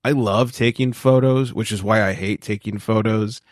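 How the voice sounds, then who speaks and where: A man's voice: lowish voice, fairly even intonation, moderate pace, no echo, studio quality. A man chatting as a podcast guest, laughing often and acting out quoted voices. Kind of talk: casual chat.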